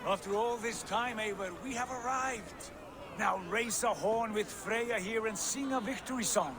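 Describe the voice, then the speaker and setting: High-voiced, fervent Viking lord. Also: male voice, measured pace, noisy background. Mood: exultant. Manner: grand, declamatory, reverent. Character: fervent Viking lord, exalted prophetic believer. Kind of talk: dramatic video game acting.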